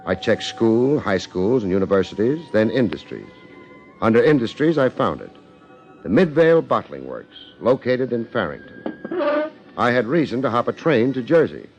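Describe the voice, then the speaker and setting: A man's voice: fairly low pitch, darkish tone. A man acting as a wry, persuasive hard-boiled private investigator, clipped in delivery, in 1950s radio detective style. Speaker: lead actor and narrator of detective drama. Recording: dull old 1950s radio broadcast recording.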